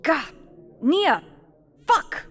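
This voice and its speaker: An actress playing a tough, blunt, foul-mouthed thief who leads a fantasy band, in an audio drama. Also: female voice, high-pitched, sing-song, extremely slow, fairly bright tone, full character voice. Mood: angry. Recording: long hall-like reverb.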